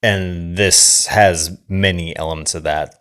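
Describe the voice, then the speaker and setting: Male film podcast co-host: low-pitched, loose and rambling, thinks aloud in unfinished phrases. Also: fairly even intonation, fairly bright tone. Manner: halting, rambling, trailing off mid-thought. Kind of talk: casual film discussion.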